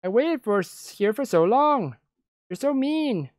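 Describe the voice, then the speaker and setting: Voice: medium pitch, unhurried, no room sound, studio quality, voice pushed up. A performer of unclear sex voicing a bubbly, whiny, playful anime schoolgirl in a visual novel. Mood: whiny, disappointed. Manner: whining, excitable, dramatic.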